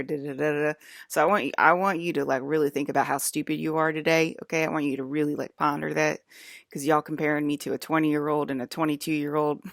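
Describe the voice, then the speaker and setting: A woman's voice: low voice, creaky, no room sound, studio quality. A woman in her fifties talking straight to her viewers in a rambling, confrontational monologue, full of fillers and swearing. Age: 50s. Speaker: video blogger.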